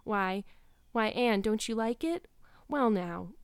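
Shy storybook man as read by a woman: gentle, hesitant, soft-spoken, sheepish. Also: mid-range voice, animated, slow, clear, resonant tone, dry recording. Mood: shy, anxious.

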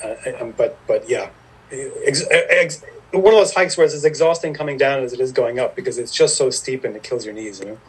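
A man's voice: medium pitch, brisk, husky, poor audio. A man, telling stories as a podcast guest in a rambling, self-deprecating way. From Ireland. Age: 50s. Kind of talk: casual storytelling interview.

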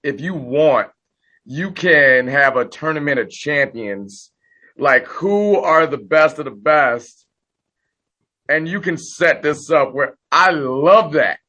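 A hoarse middle-aged man chatting casually as a podcast guest, talking with high energy. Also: lively intonation, takes it slowly, bright, forceful tone.

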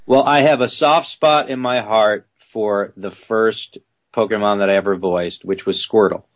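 A man who works as a voice actor, answering interview questions in a lively way and slipping into character voices as he tells stories. His voice is slightly deep. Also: unhurried, muffled recording. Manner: animated, drops into character voices while telling stories.

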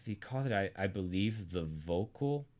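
An American man from Arkansas teaching theology conversationally, speaking deliberately and emphatically with pauses for effect. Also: sits low, lively intonation, a little mellow. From Arkansas, United States. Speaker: teacher and co-host of a theology series.

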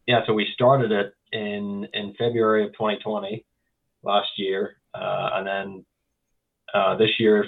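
A young man with a softened Northern Irish accent, a podcast guest talking casually and rambling with many fillers. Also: fairly low pitch. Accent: Northern Irish, softened by years in the US. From Northern Ireland. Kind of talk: casual interview talk. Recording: tinny phone-like line.

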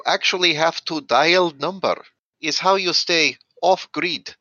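A man chatting as a player in a tabletop roleplaying game, explaining things in a lively way. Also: a little high, unhurried, no room sound, lo-fi sound.

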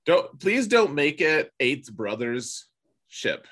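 A man co-hosting a gaming podcast, chatting casually and colloquially with a husky voice and strong opinions. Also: fairly high pitch, very animated, takes it slowly, a bit bright. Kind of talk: casual chat about a mobile game.

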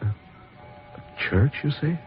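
An actor playing a frightened, disbelieving dead man in a radio horror play, halting and pleading. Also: lightly acted. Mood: shaken, pleading. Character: bewildered, frightened dead man refusing to believe. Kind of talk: old-time radio horror acting. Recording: muffled old radio recording, music behind.

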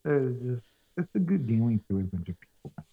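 A man's voice: mid-range voice, very animated, fast, gravelly, husky, narrow, tinny audio. A laid-back man in casual gaming chat, mostly giving short replies.

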